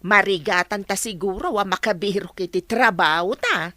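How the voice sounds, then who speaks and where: A woman's voice: medium pitch, moderate pace, pushed and bright, no room sound, close to an everyday voice. A woman playing a chatty village woman gossiping about the new resort. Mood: gossipy.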